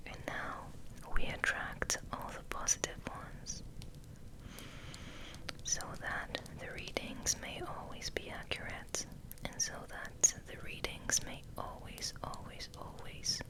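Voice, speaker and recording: A woman speaks in a breathy, unvoiced whisper as an ASMR host. Her delivery is very slow and gentle, with soothing affirmations and softly repeated words. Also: rough recording.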